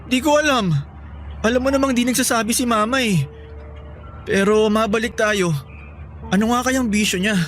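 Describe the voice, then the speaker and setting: A worried young Filipino man in a radio drama. His voice is high for a man, with an earnest, hesitant way of talking. Soft music sits behind him. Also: moderate pace, long pauses. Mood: worried, pensive.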